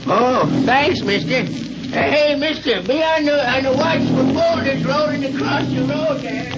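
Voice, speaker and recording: A man's voice: very high-pitched, a little slow. An actor playing a folksy, talkative backcountry gas station man with a rural American drawl in 1930s radio drama. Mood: urgent warning. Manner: chatty, hesitant, colloquial. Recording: hissy, crackling muffled 1930s radio recording.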